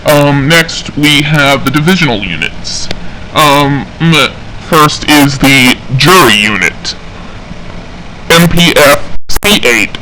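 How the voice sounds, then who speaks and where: A man playing an officer of a sci-fi police engineering unit, giving a halting, methodical, official briefing in an amateur audio log. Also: medium pitch, bright, forceful tone, slight background noise, low-quality recording. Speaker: roleplay game server member recording an audio log. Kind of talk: semi-scripted in-character briefing log.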